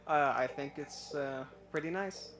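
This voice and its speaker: A man's voice: fairly high pitch, measured pace, background noise. A young man from Iraq calling in to a live stream, telling his story hesitantly with many fillers and restarts. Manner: nervous, admits stage fright. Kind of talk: casual personal story.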